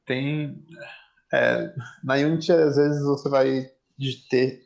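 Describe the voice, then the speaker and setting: A man with a mid-pitched, very level voice. He speaks tentatively and explains his thoughts as a participant in a casual online discussion. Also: steady tone, slow pace, gravelly, darkish tone.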